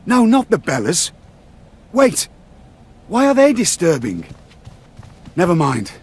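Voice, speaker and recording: Casting: haughty British heel in a wrestling game, pompous, formal, higher-set male voice. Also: wildly swooping pitch, slow pace, slightly rough, heavily acted. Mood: indignant disdain. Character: haughty, snobbish British gentleman wrestler villain. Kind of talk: scripted wrestling game cutscene acting.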